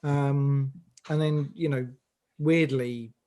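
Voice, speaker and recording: A man's voice: medium pitch, fairly even intonation, takes it slowly, mellow. A man giving rambling, emphatic advice in a conversational interview as a director. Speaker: audio drama director on an interview panel.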